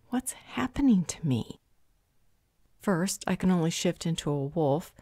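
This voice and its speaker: A woman acts out an earnest female werewolf in a fantasy audio drama. Her voice sits low and is dark and mellow, recorded close and dry. She speaks seriously, as someone worried about her duty. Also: dry recording. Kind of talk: fantasy audio roleplay acting.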